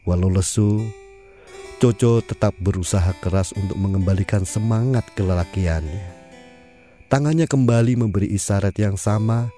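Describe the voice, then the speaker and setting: A man tells an audio drama story in a measured way. His low voice is dark and mellow. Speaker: narrator. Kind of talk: scripted audio drama narration. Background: faint music.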